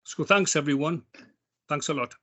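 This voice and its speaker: Earnest Geordie man, bright voice. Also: fairly high pitch. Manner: halting, with many ums and restarts. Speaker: member of a veterans' charity speaking at an online event. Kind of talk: heartfelt spoken appeal.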